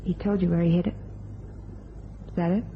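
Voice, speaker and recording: Actress as weary diner waitress and widow, low female voice, clipped blunt talk, muffled radio drama with heavy hiss. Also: fairly even intonation, fairly quick, natural voice. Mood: bitter, defiant. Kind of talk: old-time crime radio play acting. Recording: dull, noisy old radio recording.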